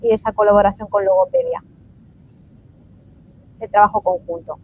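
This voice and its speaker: A woman's voice: medium pitch, fairly even intonation, moderate pace. A woman moderating a live conference Q&A, reading out audience questions and affirming answers. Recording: dull lo-fi sound, faint noise.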